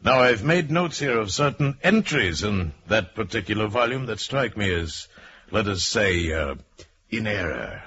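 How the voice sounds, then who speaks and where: An actor playing a stern, sly middle-aged manager in a radio drama, pompous and condescending. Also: male voice, on the low side, sing-song, a little slow, a bit bright, slightly rough, exaggerated voice. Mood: stern, accusing. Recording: dull vintage radio recording.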